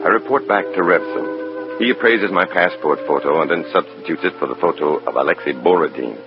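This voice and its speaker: An actor playing a wry, bitter undercover FBI informant, sardonic and hard-boiled, in an old radio drama. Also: male voice, deep voice, fairly quick, over music. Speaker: narrator and protagonist. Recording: dull, muffled old radio recording.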